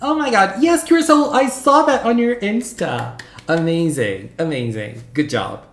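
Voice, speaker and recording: Animated man streaming in an echoey room. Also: high voice, measured pace, slight background noise. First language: Portuguese. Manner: animated, gushing, squeals greetings to viewers. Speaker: livestream host. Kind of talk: casual chat with viewers. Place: home room. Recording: echoey room with faint background music.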